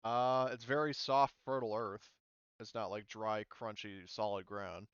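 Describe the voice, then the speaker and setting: Male game master, lowish clean voice, informal, thinking out loud; dry, muffled recording. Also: steady tone, a little slow, clear, resonant tone, no echo. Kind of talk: casual online tabletop game narration.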